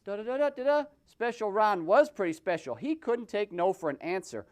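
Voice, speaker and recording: A man narrates a comic puppet story with big swings in pitch. He is theatrical and mocking, and sounds as if he is making it up as he goes. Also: lively intonation, normal speed. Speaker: host and puppeteer.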